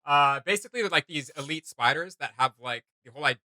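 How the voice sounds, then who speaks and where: A man hosting a casual reaction video, giving gushing, slangy commentary in a halting flow. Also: a little high, animated, crowd noise.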